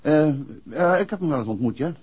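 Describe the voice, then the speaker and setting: An actor playing a composed, inquisitive gentleman detective in a Dutch radio play from the 1960s, with a Netherlands accent and measured, courteous speech. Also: on the high side, animated, slightly rough. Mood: probing. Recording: dull, muffled 1960s radio recording.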